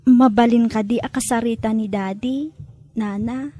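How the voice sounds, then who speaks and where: A woman's voice: on the high side, fairly even intonation, moderate pace, dark tone, lightly acted. A woman playing a sad, polite young granddaughter in an Ilocano radio drama, speaking in a plaintive, respectful way. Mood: pleading.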